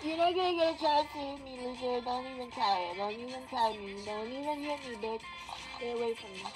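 A woman's voice: high voice, brisk, low-quality recording. A woman improvising karaoke-style, half singing and half chanting short, repetitive, defiant phrases. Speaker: amateur singer and vlogger. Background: music.

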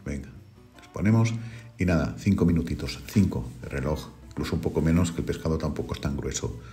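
A middle-aged man, hosting a home cooking video and calmly talking viewers through each step. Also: very deep voice, fairly quick, faint music behind. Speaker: cooking channel host. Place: home kitchen.

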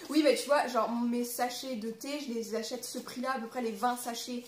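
A woman's voice: fairly high pitch, steady tone, normal speed. A woman in her twenties with a French accent from France, chatting informally and reading aloud as a vlogger. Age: 20s. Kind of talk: casual chat with reading aloud. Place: small living room at home. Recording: roomy echo, clear background noise.

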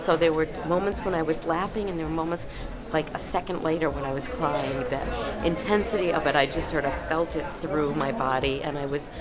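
A woman in her sixties, an audience member, talking earnestly and searching for words in a busy theater lobby. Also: lowish voice. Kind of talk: casual interview. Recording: loud crowd chatter behind.

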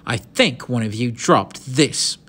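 Storybook hero: plucky, earnest young schoolboy, voiced by a male reader, pitched a little up, protective of friends. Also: expressive, slow pace, pushed and bright, slightly rough, exaggerated voice. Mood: cool, casual.

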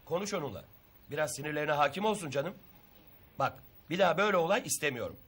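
Fatherly police commissioner, slightly high male voice, measured, admonishing, TV drama. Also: lively intonation, a bit bright, husky. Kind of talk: Turkish TV drama acting.

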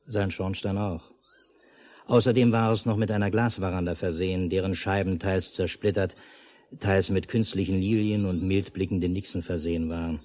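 An actor playing a calm storyteller in a 1950s radio play, narrating a lakeside village tale in measured, literary phrases. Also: male voice, on the low side, close to an everyday voice. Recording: noisy 1950s mono radio recording.

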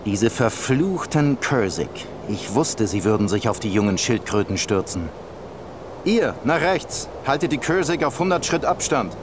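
Commanding soldier champion, noisy backdrop. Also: male voice, mid-range voice, very animated, unhurried. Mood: impatient, commanding. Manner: commanding, briefing. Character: gruff male soldier champion escorting war turtles. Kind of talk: video game voice acting. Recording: noisy game battle ambience behind voice.

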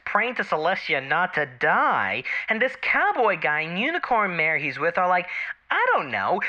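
A woman voicing an excitable mare receptionist who rambles casually through a dramatic eyewitness account in a fan audio drama. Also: medium pitch. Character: excitable peach-colored pony mare receptionist, chatty eyewitness. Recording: dull lo-fi radio-like sound.

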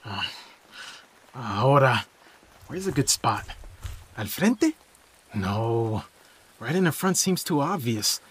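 An actor playing a proud, wry eighteen-year-old forest worker in an audio drama. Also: male voice, mid-range voice, very animated, slow, long pauses, husky, full character voice. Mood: guarded.

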